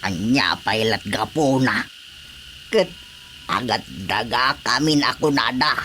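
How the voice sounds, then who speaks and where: A man's voice: medium pitch, slow pace, pushed and bright, slight background noise. An actor playing an elderly Filipino village father, plain-spoken, firm and admonishing, in a radio drama.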